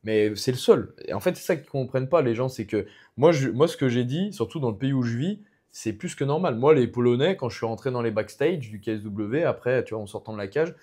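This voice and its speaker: A man's voice: medium pitch, lively intonation, fairly quick, dark tone, breathy edge. A young man from France, an MMA fighter as podcast guest, talking in a rambling way full of restarts and fillers. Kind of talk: casual podcast conversation.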